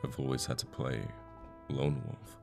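An actor playing a conflicted, protective assassin boyfriend in an intimate ASMR audio roleplay, speaking tenderly and haltingly with pauses. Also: male voice, a bass voice, flat delivery, vocal fry, music in the background, heavily acted. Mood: vulnerable, tender.

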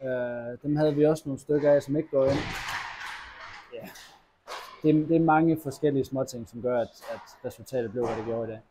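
A young man, a hockey player, answering post-match interview questions in a halting, disappointed way with many fillers and restarts, recorded indoors at an arena press wall. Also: medium pitch, fairly even intonation, noisy background. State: disappointed after a loss.

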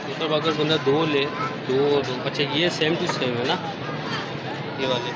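A man's voice: on the high side, steady tone, a little slow, muffled recording. A man from Pakistan vlogging casually as he walks an outdoor street market, asking stall holders about prices and chatting to viewers. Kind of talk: casual market walk-and-talk. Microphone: phone camera with clip-on mic. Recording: loud street market noise.

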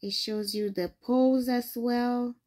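A woman's voice: on the high side, fairly even intonation, slow, darkish tone. A young adult woman from Ghana, hosting a screen-recorded phone tutorial and explaining each step patiently. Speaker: tutorial host.

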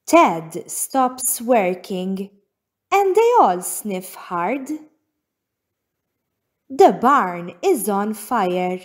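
Very slow, lively woman storyteller. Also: mid-range voice. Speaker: children's storybook narrator. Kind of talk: read-aloud narration for children.

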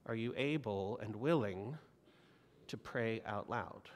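A man's voice: on the low side, slow, a little hiss or hum. A man in his forties preaching a sermon from the pulpit, earnest and exhorting, with repeated rhetorical questions. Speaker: pastor. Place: large church sanctuary. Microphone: pulpit mic. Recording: long reverb of a church hall.

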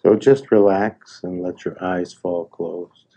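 A man leading a guided meditation in a soothing manner, speaking in short unhurried phrases with pauses. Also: deep voice, fairly even intonation, warm tone.

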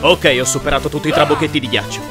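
A man's voice: a little high, very fast, over loud music, natural voice. A man voicing a jaded, foul-mouthed young trainer, sardonic and exasperated, in a comic cartoon dub.

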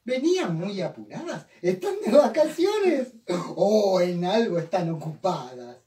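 Man doing a high-voiced tiny alien traveler. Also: very animated, measured pace, warm tone. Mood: cheerful. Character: tiny friendly rhyming traveler from another world. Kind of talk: comic clown storytelling performance. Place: small indoor room, three performers at table. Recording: echoey room, distant mic, faint noise.

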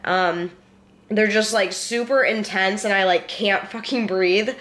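A woman's voice: mid-range voice, fairly even intonation, unhurried, fairly bright tone. A young woman with a cold and a congested, nasal voice, chatting casually to the camera from her living room couch, rambling and swearing now and then. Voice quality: nasal, stuffy. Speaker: vlogger. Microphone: handheld camera close to the face.

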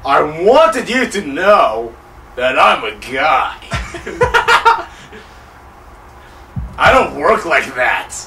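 Young guy on a couch reading a dating game out loud, pretty high voice, kinda far from the mic. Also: wildly swooping pitch, drawn-out words, pauses often, slight background noise. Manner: jokey, profane, laughs often, reads lines aloud. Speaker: let's play co-host. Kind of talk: casual couch commentary reading game text. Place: living room, on a couch.

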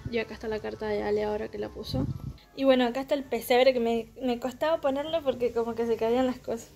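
A woman's voice: a little high, steady tone, fast, gravelly, dark tone. A young woman chatting casually, meandering and filler-heavy, while showing her home decorations on a handheld phone. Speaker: vlogger. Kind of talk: casual home tour vlog. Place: small home living room. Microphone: handheld phone camera.

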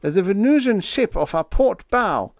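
Sci-fi story junior officer male reader performing: frantic, shrilly raised pitch, yelling in alarm. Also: lively intonation, normal speed, no echo, studio quality. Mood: panicked. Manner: yelling, panicky. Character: panicky young lieutenant shouting an urgent alarm. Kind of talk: dramatised science fiction story reading.

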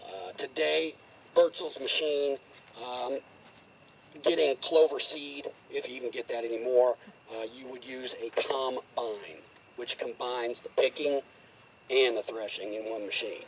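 A middle-aged man talks a group through a cemetery in a plain, casual, explanatory way, with a medium-pitched voice. He is recorded outdoors from a camera some way off. Faint crickets and open-air noise sit behind him. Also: steady tone, unhurried, rough recording. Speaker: cemetery tour guide. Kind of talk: outdoor walking tour talk. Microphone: far camera, no mic.

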